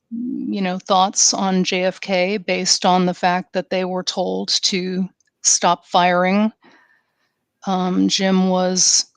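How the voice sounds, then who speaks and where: A woman co-hosting a casual podcast, speaking earnestly and haltingly with frequent ums. Her voice is smooth and clear but fairly flat in pitch. The sound is dry and close to the mic. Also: no echo. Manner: many fillers, halting, earnest. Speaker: podcast co-host.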